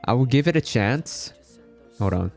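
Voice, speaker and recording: A man's voice: mid-range voice, expressive, a little slow, vocal fry. Casual male game streamer, music behind him. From Philippines. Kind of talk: casual livestream chat.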